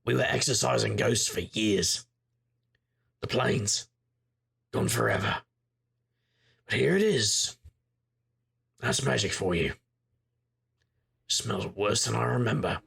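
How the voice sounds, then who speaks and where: A world-weary magical investigator narrates a noir audio drama. His voice is lowish, very husky and full of gravel, and his delivery is wry and rueful. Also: animated, takes it slowly, pauses often, a bit bright, heavily acted. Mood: wry nostalgia.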